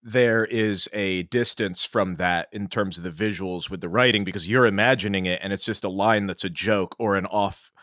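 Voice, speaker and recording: A man co-hosting a podcast, talking through film history in a measured, explanatory way. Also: sits low, fairly bright tone, no room sound, clean studio sound. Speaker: podcast co-host. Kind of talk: informed film-history discussion.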